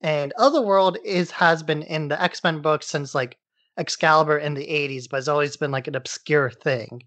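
A man's voice: on the high side, moderate pace, fairly bright tone, dry recording. Informal man recapping in a dry-sounding space. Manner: informal, irreverent. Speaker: podcast co-host. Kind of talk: casual plot recap.

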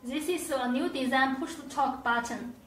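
A woman presenting a scripted product demonstration, with careful, halting, non-native phrasing. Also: high-pitched. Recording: roomy echo, distant mic, faint noise.

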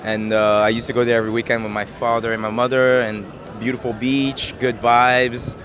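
Young man from Japan, street interviewee, soft and hesitant, mid-range voice, loud crowd behind. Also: medium pitch, little pitch movement, fairly quick. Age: 20s. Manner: soft-spoken, hesitant, many fillers, laughs easily. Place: busy city square outdoors. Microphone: handheld mic.

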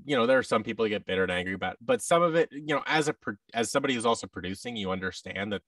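A man's voice: on the low side, animated, very fast, fairly bright tone, dry recording. American man, slightly distant dry mic. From United States. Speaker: guest, voice actor. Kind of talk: casual interview chat. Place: office room indoors, video call. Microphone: boom microphone and headset on a video call.

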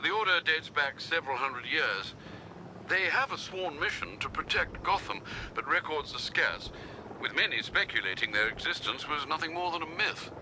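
An actor playing a loyal older butler in a video game, formal, articulate and dryly deferential. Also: male voice, fairly high pitch, expressive, moderate pace, noisy background, close to an everyday voice. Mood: briskly informative. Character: courteous, dry, loyal older male butler assistant. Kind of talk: video game cutscene acting.

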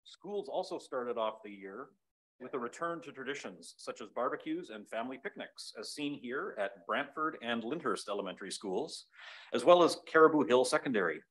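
A man's voice: mid-range voice, expressive, normal speed, a bit bright, low-quality recording. A man reading scripted school district news aloud as a trustee at a meeting.

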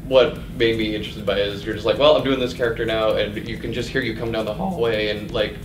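Casting: young male interviewer, quick rambling casual delivery, lowish voice, roomy sound. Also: little pitch movement, noisy background. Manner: rambling, loosely phrased questions. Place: convention press room, indoors. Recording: roomy, mic at a distance.

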